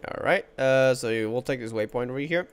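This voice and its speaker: A man's voice: medium pitch, fairly quick, slight background noise. A man giving casual running commentary as he plays through a video game. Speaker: gamer commentating on playthrough. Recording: faint game music behind.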